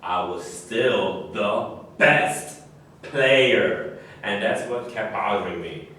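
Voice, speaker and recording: A man's voice: on the low side, slow pace, sharp tone. A man in a staged reading, playing a proud but dissatisfied former basketball star. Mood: proud, frustrated. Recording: echoey room from far off, faint noise.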